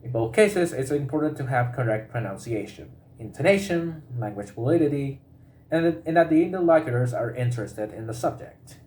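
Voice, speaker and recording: A man with a medium-pitched voice reads a prepared educational text aloud. His delivery is halting, and he often stops partway into a word and says it again. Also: animated, a little mellow.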